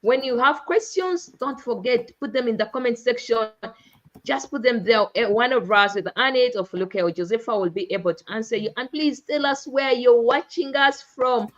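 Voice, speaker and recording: A woman from Uganda hosts a live online talk, her voice set a little high and stretched into exuberant, emphatic phrases. The sound comes through dull and lo-fi, like a streamed call. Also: moderate pace. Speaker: host.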